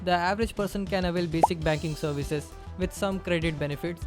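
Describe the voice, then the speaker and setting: A man's voice: high-pitched, brisk, over music. A man from India with a strong Indian accent, narrating a scripted explainer and addressing the viewer directly.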